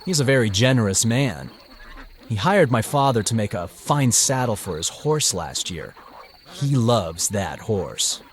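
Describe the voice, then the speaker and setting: An actor playing a lively, teasing young man in an audio story. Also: lowish voice, unhurried. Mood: eager, chatty. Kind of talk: acted dialogue in an audio story.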